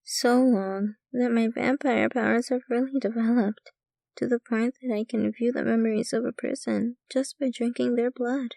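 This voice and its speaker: A woman's voice: a little high, creaky, mellow, no echo. A woman playing a possessive, doting, ancient and wealthy vampire girlfriend in an intimate, teasing ASMR roleplay. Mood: musing, proud. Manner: sweetly possessive, teasing, unhurried, addressing the listener intimately.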